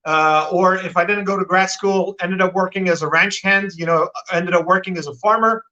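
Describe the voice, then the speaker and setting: Interview guest, male, American accent, bright high voice, rambling and self-correcting. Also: steady tone, moderate pace, lo-fi sound. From Turkey. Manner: talkative, frequent restarts and repeated words. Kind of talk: live-stream interview.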